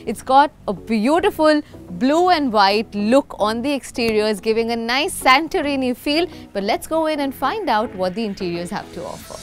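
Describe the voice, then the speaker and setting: A woman in her thirties with an Indian accent, chatty and enthusiastic, hosting an upbeat promotional travel vlog. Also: a little high. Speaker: travel show host. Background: background music.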